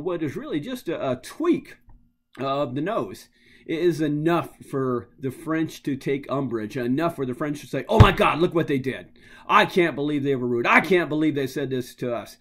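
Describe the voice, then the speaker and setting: A man giving an informal history lecture as a teacher, emphatic and dramatic in his storytelling, repeating phrases for effect and acting out quotes. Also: on the high side.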